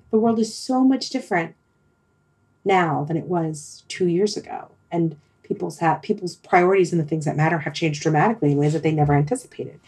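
A woman's voice: on the low side, a little mellow, husky. A woman in a casual, reflective discussion, thinking aloud and repeating words for emphasis. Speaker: podcast discussion participant.

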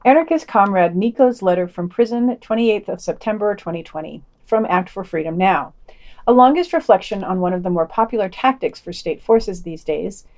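Female podcast news reader, medium pitch, scripted delivery with wry, dry commentary. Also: moderate pace, a little mellow. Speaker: podcast host reading news.

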